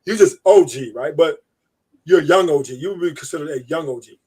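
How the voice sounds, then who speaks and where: A man's voice: on the high side, fairly quick, a little mellow, husky, poor audio. A man on a casual live stream, blunt and rambling, who swears freely.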